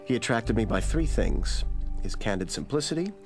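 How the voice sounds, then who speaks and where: Casting: man to play jittery young man fearing he's a werewolf, mid-pitched and animated, talking to himself, radio drama. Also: moderate pace, lightly acted. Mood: weary, uneasy. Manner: muttering to himself in short clipped phrases. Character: restless young man anxious about becoming a werewolf. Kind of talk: horror radio drama monologue. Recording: loud music behind the voice.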